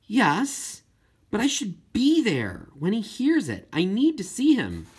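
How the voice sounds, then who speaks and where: Man voicing an upset, stubborn girl, high-pitched. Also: huge pitch swings, takes it slowly, breathy edge, full character voice. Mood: frustrated, insistent. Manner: emphatic, impassioned protesting. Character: upset, earnest deaf girl, pleading and stubborn.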